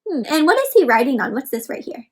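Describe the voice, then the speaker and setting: High-voiced woman reading aloud to children. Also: fast talker. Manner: expressive, instructive, addresses listeners as friends with frequent questions. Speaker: children's read-aloud host and teacher. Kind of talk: interactive picture-book read-aloud for children, asking questions.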